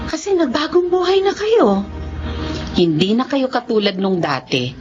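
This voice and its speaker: An actress playing a kind, forgiving, devout younger neighbour woman, expressive and sympathetic, in Tagalog radio drama. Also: on the high side, unhurried, over music. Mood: glad, sympathetic. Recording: dull audio with faint background noise.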